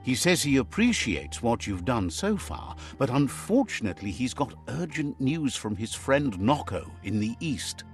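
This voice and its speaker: An actor voicing a wry, wise male storyteller, narrating a video game fable in a measured, theatrical way. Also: mid-range voice, big pitch swings, soft background music. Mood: wry, storytelling.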